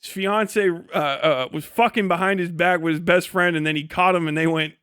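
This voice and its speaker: High-voiced man on a crude livestream rant. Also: normal speed, a bit bright, clean tone, no room sound, studio quality. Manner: crude, profane, mocking storytelling; laughs often while talking. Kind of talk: casual unscripted livestream commentary.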